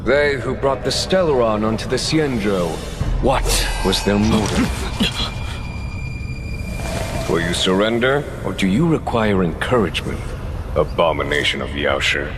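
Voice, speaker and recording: An actor playing a composed, shrewd, commanding general in a video game, deliberate and theatrical as he questions. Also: fairly low pitch, animated, over music. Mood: shrewd, menacing.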